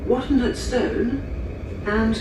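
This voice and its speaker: A woman's voice: fairly high pitch, steady tone, takes it slowly, recorded from a distance. A woman reading scripted station announcements, heard through a train's PA speaker. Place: inside a train carriage. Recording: very echoey, noisy train PA from afar.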